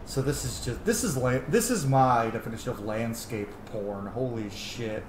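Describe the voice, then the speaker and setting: A man's voice: mid-range voice, normal speed. A man giving casual, rambling let's-play commentary, gushing and swearing freely as he goes. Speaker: gaming streamer playing and commenting. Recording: roomy echo with faint background noise.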